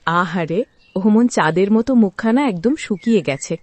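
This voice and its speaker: A woman's voice: medium pitch, normal speed, darkish tone, dry recording, close to an everyday voice. A woman voicing a sympathetic, gossipy middle-aged village aunt in a cartoon, chatty and outspoken. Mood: pitying, gossipy. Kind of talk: Bengali cartoon dialogue.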